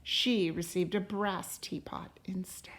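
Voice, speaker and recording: A woman reading a storybook aloud to a class as a teacher, in a deliberate, expressive way. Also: on the low side. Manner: deliberate and expressive, pausing to question listeners.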